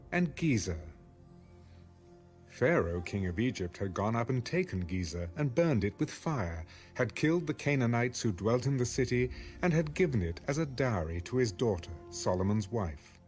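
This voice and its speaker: Man doing audio bible narration, really expressive pitch, faint music under it. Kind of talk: dramatic scripted scripture narration.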